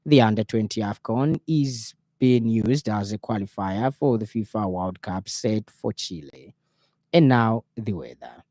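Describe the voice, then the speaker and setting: Mellow-voiced Zambian male newsreader. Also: on the low side, expressive, dry recording, clean studio sound. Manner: formal, measured newsreader delivery. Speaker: radio news presenter. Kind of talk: scripted evening news bulletin reading. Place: radio studio.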